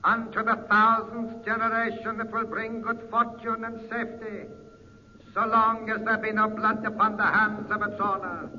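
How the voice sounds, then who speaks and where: An actor in a 1940s radio drama playing an ancient, godlike statue of Buddha that speaks in slow, solemn proclamations. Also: male voice, high voice, completely flat delivery, natural voice. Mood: solemn proclaiming. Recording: noisy, muffled 1940s radio recording.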